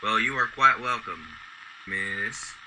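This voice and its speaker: Kindly working man for radio play, male actor: lowish, very bright forceful voice, courteous, faint noise behind. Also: expressive, slow, exaggerated voice, poor audio. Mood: warm, curious. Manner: courteous, gently conversational. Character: kindly, soft-hearted garbage truck driver Nicholas. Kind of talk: old-time radio drama acting.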